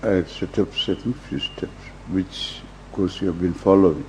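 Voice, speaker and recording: An older man with an Indian accent guiding a meditation as a teacher, in measured short phrases with long pauses. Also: low voice, steady tone, a little mellow. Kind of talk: guided meditation, slow instruction. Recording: dull lo-fi recording with faint hum.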